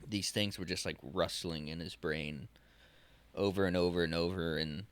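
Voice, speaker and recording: A man in his twenties co-hosting a podcast, talking in a casual, halting way, often restarting and searching for words. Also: low voice, steady tone, clean tone. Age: 20s. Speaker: podcast co-host.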